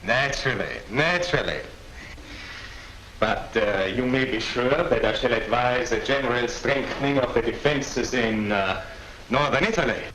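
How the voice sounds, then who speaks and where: A man acting in a 1940s film as a shrewd German officer interrogating prisoners, with a smooth, courteous and condescending manner. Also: medium pitch, moderate pace, bright, forceful tone, natural voice. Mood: probing. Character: suave, shrewd German major interrogating captured airmen. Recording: noisy 1940s film soundtrack.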